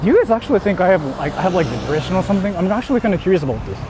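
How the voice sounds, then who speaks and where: Animated man rambling on a noisy street livestream. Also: high voice, rapid-fire. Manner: rambling, self-questioning, colloquial and profane. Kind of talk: casual livestream chat, reading viewer comments. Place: outdoors on an urban street, walking. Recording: clearly noisy outdoor city street stream.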